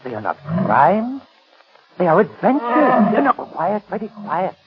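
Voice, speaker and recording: Deranged villain animal trainer, high and wildly swooping, theatrical, old dull radio sound. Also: male voice, huge pitch swings, measured pace, heavy background noise, full character voice. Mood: gloating, manic. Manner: taunting, theatrical, cackling. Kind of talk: 1930s radio drama acting. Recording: dull lo-fi 1930s radio recording.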